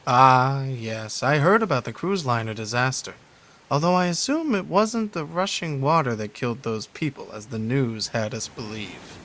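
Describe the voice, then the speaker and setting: An actor playing a composed, eloquent interviewer in a video game cutscene, formal and measured, with an eerily poetic turn. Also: male voice, mid-range voice, expressive, fairly bright tone. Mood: musing, sly. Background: faint music.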